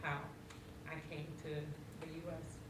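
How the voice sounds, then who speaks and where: A woman's voice: low voice, level tone. Woman with a light Haitian accent, echoey. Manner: halting, many ums and restarts. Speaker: panel speaker. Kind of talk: personal story in a panel discussion. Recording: echoey room from far off, noisy.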